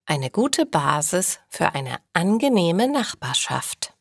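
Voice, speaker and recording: A woman reading scripted stories for language learners in short, deliberately separated sentences. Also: on the low side, big pitch swings, vocal fry, dry recording, studio quality. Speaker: narrator of German learning stories. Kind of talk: slow scripted story narration for language learners.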